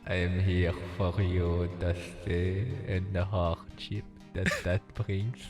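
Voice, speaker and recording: Man acting as ancient male vampire, low-pitched, solemn, formal, visual novel drama, cavernous echo, music underneath. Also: flat delivery, a little mellow. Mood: gentle, sorrowful. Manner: slow, solemn, formal delivery. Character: brooding, soft-spoken ancient male vampire master. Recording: echoing reverb, music behind.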